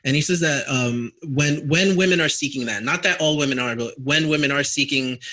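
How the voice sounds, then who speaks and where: A man's voice: fairly high pitch, brisk, pushed and bright. A young man hosting a casual podcast conversation, talking in long, reflective stretches with frequent restarts. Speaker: podcast host.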